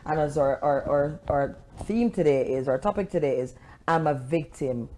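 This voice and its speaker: A woman's voice: low-pitched, moderate pace, warm tone, a little hiss or hum. A woman hosting a talk show, interviewing a guest and emphatically repeating words for emphasis.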